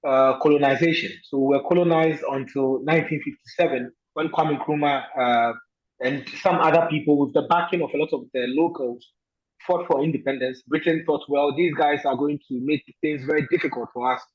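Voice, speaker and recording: A man from Ghana, a guest answering interview questions in a thoughtful way, pausing often with fillers. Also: a little high, fairly even intonation, dull audio.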